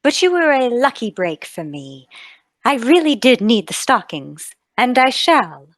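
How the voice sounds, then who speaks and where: A woman playing a refined, tender lady of the manor with a measured, dramatic delivery in a radio play table read. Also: fairly high pitch, sing-song, no room sound. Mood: gracious.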